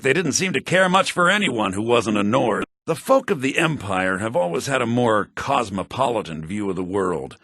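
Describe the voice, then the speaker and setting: An actor voicing shrewd, wry men of a fantasy video game, such as merchants and mages, in a sly, dry, theatrically expressive manner. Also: male voice, creaky, no echo, exaggerated voice. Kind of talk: scripted video game character lines. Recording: low-bitrate game audio rip.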